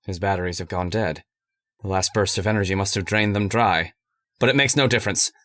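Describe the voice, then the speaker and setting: Man playing a low, coldly menacing robot villain. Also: fairly bright tone, no room sound. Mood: cold, unrepentant. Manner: deliberate, grandiose villainous pronouncements. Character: megalomaniac robot disguised as a man, menacing and coolly certain. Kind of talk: old-time radio horror drama acting.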